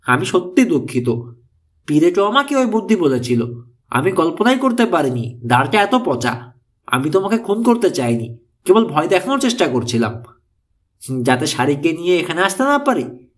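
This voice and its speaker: A man's voice: sits high, sing-song, normal speed. A man voicing an anxious, remorseful middle-aged woman in an audiobook, speaking in an earnest, confessional manner. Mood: remorseful, afraid.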